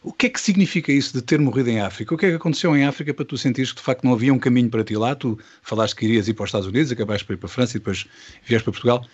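Male interviewer, conversational and wordy, very rapid delivery with a rough, husky edge, slightly dull recording. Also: mid-range voice. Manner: probing, wordy, repeats words.

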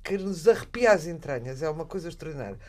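A Portuguese woman in her sixties with a European Portuguese accent, chatting about books in an animated, halting way. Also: low-pitched. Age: 60s. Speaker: radio book show co-host. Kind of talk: casual literary chat.

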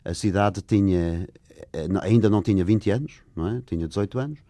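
A man's voice: fairly low pitch, a little fast, dark tone, no room sound. An older man from England, speaking Portuguese as a learned language, answering interview questions hesitantly with many fillers. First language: English. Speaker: guest. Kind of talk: interview conversation.

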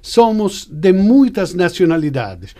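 A man's voice: sits high, very animated, slow, dark tone. A man from Italy with an Italian accent, a native Italian speaker, arguing insistently in a spontaneous panel debate. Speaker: panel discussion participant, actor.

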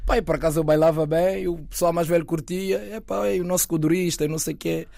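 A man in his twenties, raised near Lisbon, chatting as an interview guest, relaxed and rambling with fillers and restarts. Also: on the high side, fast, creaky, no room sound, studio quality. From Lisbon area, Portugal. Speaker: guest, actor and content creator. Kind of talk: casual interview talk.

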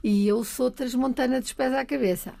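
A middle-aged woman with a European Portuguese accent speaks as a radio guest, her voice gravelly and mellow. She tells her story with warmth and laughs often. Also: high voice, moderate pace. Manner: warm, laughing, lively storytelling. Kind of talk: relaxed radio interview.

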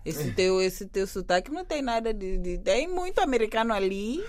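A woman from São Tomé and Príncipe joining in a casual panel conversation, cutting in with lively remarks. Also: medium pitch, normal speed, crowd noise.

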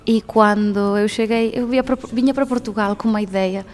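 A woman in her twenties from Galicia with a Galician accent, speaking as a guest on a radio programme, lively and laughing often. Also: mid-range voice, fairly quick. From Galicia, Spain. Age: 20s. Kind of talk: radio testimony.

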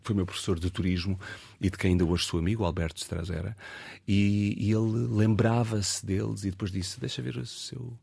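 A Portuguese man in his fifties with a European Portuguese accent, an actor talking reflectively in an interview, pausing and restarting as he thinks. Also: fairly low pitch, steady tone, gravelly, a little mellow, slightly rough, dry recording. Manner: reflective, hesitant with many fillers and restarts. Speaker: actor and guest. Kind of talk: casual interview conversation.

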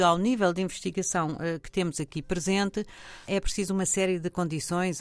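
A woman reporter, narrating a news report and asking interview questions in a measured, clear delivery. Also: fairly low pitch, a little mellow, no room sound.

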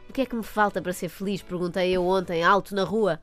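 A woman's voice: on the low side, steady tone, quick pace, a bit bright, soft background music. A woman with a European Portuguese accent delivering a scripted comic monologue as a radio host, in a wry, mock-earnest manner.

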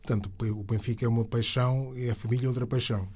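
Husky, mellow man hosting a radio interview. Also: medium pitch, steady tone. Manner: formal, literary, deliberate phrasing. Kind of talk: measured, scripted radio interview presenting.

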